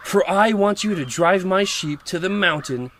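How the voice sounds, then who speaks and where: A man's voice: sits high, expressive, moderate pace, fairly bright tone. A man playing a self-important, selfish miller who preaches about friendship in a pompous manner, in an audio storybook drama. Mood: pompous, preachy. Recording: faint background noise.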